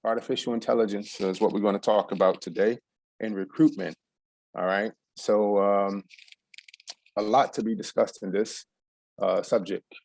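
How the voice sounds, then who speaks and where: A man's voice: on the low side, steady tone, normal speed, gravelly, a little mellow, no room sound, poor audio. A middle-aged man from Texas, giving a loose, rambling presentation to an audience with plenty of ums and restarts. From USA, San Antonio, Texas.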